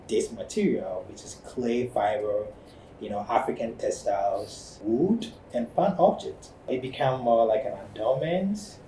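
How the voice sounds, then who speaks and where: Ghanaian-accented man, mellow and reflective. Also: fairly low pitch, a little hiss or hum, low-quality recording. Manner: thoughtful, halting, many "you know" fillers. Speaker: ceramic artist. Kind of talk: reflective studio talk.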